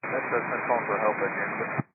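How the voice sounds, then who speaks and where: A man making brisk traffic calls over an aviation radio. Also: on the low side, steady tone. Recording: tinny, staticky aviation radio.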